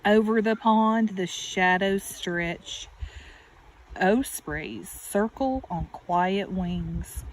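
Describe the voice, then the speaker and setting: A woman teacher reading a picture book aloud to children, deliberate and expressive, with questions and asides to her listeners. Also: mid-range voice. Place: outdoors beside a natural pond. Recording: steady outdoor background noise. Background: birds and outdoor ambience.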